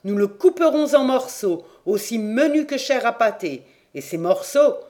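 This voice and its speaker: A reader voicing a rough man from a village who is harsh and callous. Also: medium pitch, big pitch swings, normal speed, a little mellow, pitched above the performer's own voice, natural voice.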